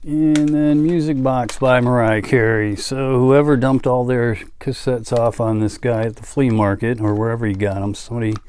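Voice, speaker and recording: A man chatting casually as a collector and vlogger, halting and trailing off into asides as he shows items. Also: mid-range voice, fairly even intonation, a little mellow, a little hiss or hum. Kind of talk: casual show-and-tell chat.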